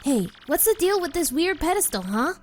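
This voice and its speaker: A woman's voice: high voice, big pitch swings, normal speed, soft background music. A woman voicing a curious, sassy young female sidekick in a video game dub, perky and questioning. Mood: curious, excited.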